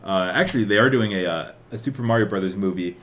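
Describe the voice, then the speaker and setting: A man's voice: fairly low pitch, quick pace, a bit bright. A man co-hosting a podcast in casual conversation, thinking aloud in a rambling way. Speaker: podcast co-host. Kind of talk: casual chat about games and films.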